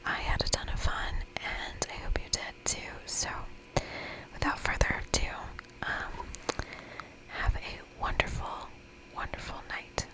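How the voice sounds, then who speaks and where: A woman whispering in a breathy, gentle, reassuring and chatty way as she hosts an ASMR game playthrough. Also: a little slow, poor audio. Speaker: host of an ASMR gaming channel.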